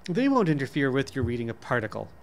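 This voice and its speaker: A man reading the part of a brisk, cheerful, reassuring wife and mother in a story. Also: mid-range voice, big pitch swings. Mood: breezy, reassuring. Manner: breezy, reassuring.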